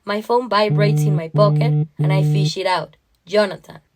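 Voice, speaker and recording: A woman's voice: sits low, moderate pace, loud music behind the voice. Woman narrating a dramatic short story. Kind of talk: dramatic first-person literary narration.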